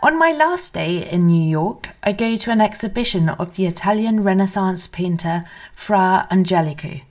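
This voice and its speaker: A woman's voice: medium pitch, animated, moderate pace. A woman narrating a first-person memoir as a scripted literary reading. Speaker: reader of memoir excerpts.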